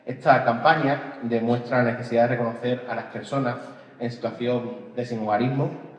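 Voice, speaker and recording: Man giving a speech in an echoey hall. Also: medium pitch. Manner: formal, declamatory. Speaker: city official. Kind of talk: public speech. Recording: echoey hall, dull sound.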